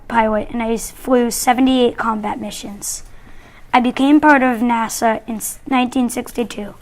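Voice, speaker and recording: A woman's voice: a little high, lightly acted. A young woman as a proud astronaut. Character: male moon astronaut, proud. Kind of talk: school-project role-play reading.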